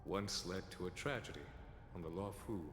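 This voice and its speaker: A man's voice: noisy background, lightly acted. A man playing a composed, commanding general in a video game, with solemn delivery and echoing sound. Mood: grave, measured. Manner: measured, solemn exposition, booming battle cries. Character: composed male general warrior, grave, commanding in battle. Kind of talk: scripted video game voice acting. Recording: echoey hall reverb, music underneath.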